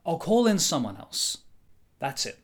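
Radio horror role: a man playing a rational family doctor coming undone, halting delivery, very animated intonation. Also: a little high. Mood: agitated, urgent. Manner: stammering, halting, urgent. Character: flustered male doctor, family friend, rational but rattled. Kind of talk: old-time radio horror acting.

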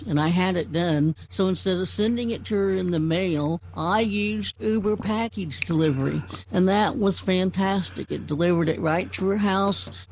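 A woman's voice: fairly low pitch, darkish tone, slight background noise, poor audio. An older woman in casual group conversation, rambling a little as she searches for words. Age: 60s or older. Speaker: club member.

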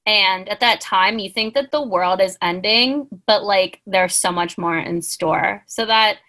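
A woman's voice: mid-range voice, a little slow, sharp tone. A woman in her late twenties co-hosting a casual chat, giving earnest, rambling advice with many ums. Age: 20s. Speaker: podcast co-host.

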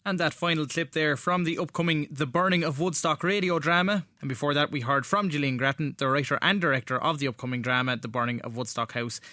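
A man's voice: a little high, quick pace, hardly any pauses, sharp tone, no echo. An Irish man presenting a radio show in a scripted style, linking segments from a studio.

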